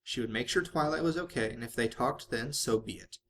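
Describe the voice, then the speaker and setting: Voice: medium pitch, a little fast, a bit bright. An amateur reader narrating a story aloud in the manner of a home-made audiobook. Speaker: fan fiction reader.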